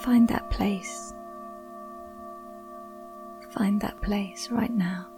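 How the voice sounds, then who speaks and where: Woman doing a guided meditation, real slow and soothing, kinda hushed, singing bowls ringing behind her. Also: mid-range voice, steady tone, in a whisper, music in the background. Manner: soothing, hushed, with long pauses between short phrases. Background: ringing Tibetan singing bowls.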